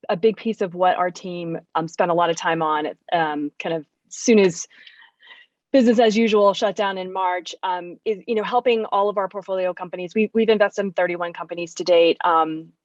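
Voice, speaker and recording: A woman's voice: on the low side, no room sound, muffled recording. A woman discussing business conversationally as an investor guest on a panel.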